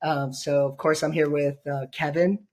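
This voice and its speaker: A man hosting a podcast, hoarse and raspy from being sick, talking in a rambling, joking way with many fillers. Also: on the high side, flat delivery, normal speed, a little mellow. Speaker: podcast host. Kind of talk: casual podcast chat.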